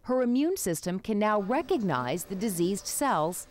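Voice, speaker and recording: A woman's voice: medium pitch, very animated, a little slow, slight background noise. A woman with an American accent narrating a scripted TV news report.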